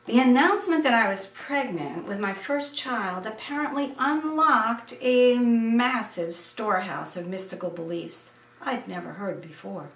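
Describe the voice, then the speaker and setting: Slow-paced older American woman reading memoir. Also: fairly high pitch. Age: 70s. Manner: expressive, deliberate, dramatic storytelling. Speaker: essayist reading her memoir. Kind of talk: scripted personal narration. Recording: roomy, distant microphone.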